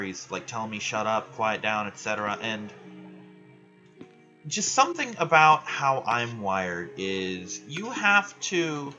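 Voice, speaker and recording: A young man ranting loudly and swearing in casual chat while he plays a video game. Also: lowish voice, muffled recording. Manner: loud, ranting, frequent swearing. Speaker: gaming vlogger.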